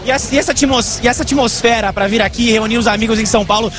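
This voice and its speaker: Upbeat high-voiced male street reporter. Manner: upbeat, quick. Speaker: field reporter. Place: outdoors at a street gathering.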